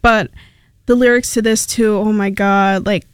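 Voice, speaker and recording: A young woman from Mexico, a native Spanish speaker, talks easily in a radio studio as the station's intern. Her voice comes through smooth and clear. The sound is close and dry, and her casual, rambling manner is full of little fillers. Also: mid-range voice, steady tone, measured pace, no echo. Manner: chatty and rambling, many fillers.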